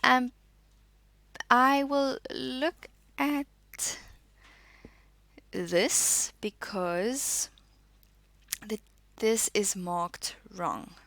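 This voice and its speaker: A woman giving a spoken lesson as a teacher, reading out and explaining in short, deliberate, instructive statements. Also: on the high side, fairly bright tone, no echo.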